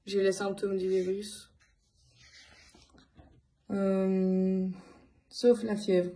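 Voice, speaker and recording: A woman from Belgium giving a casual personal account to her followers, speaking in a halting way with many filled pauses. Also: medium pitch, little pitch movement, dark tone. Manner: hesitant, halting delivery with frequent long filled pauses. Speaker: vlogger addressing followers.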